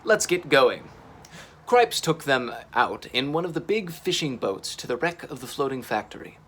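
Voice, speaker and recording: A man reading a children's story aloud in an expressive, dramatic storytelling manner. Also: medium pitch, moderate pace. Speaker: storytime reader. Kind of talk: animated children's audiobook-style storytelling.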